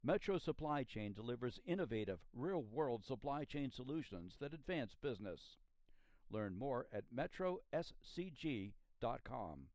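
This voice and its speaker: A man reads a scripted sponsor announcement in a mid-pitched voice. The delivery is polished and promotional, recorded dry and close. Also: sing-song, normal speed, a little mellow, no room sound.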